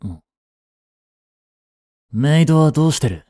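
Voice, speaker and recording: A man's voice: a little high, lively intonation, unhurried, dark tone, dry recording. A man playing a cold, haughty young prince in a romantic audio drama, curt and imperious but secretly protective. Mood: subdued, brooding.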